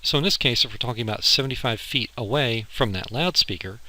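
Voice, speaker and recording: A man's voice: medium pitch, fast, sharp tone, low-quality recording. A man giving an informal, step-by-step lecture as an instructor, explaining technical material over slides. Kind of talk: slide lecture on audio engineering. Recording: harsh amateur recording with clicking.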